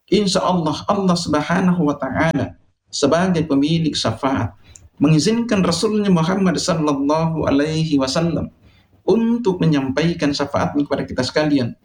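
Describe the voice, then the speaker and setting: Echoey, higher-voiced male preacher. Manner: solemn, exhorting, deliberate with emphatic phrasing. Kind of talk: sermon addressed to listeners. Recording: echoey, reverberant sound.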